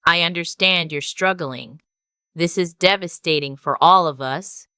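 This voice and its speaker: A woman's voice: on the low side, a little slow, sharp tone, clear, resonant tone, no room sound. A woman voicing a controlling, scheming man with patronizing, tightly held patience in an audio story. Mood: impatient.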